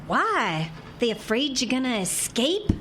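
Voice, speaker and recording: A very high-pitched, slow-drawling Texan bride, played by a woman, sassy and quick to scorn. Her lines swing up and down with folksy bite, in an audio drama. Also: very animated. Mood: incredulous. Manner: drawling, folksy, sharp-tongued. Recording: noticeable background noise.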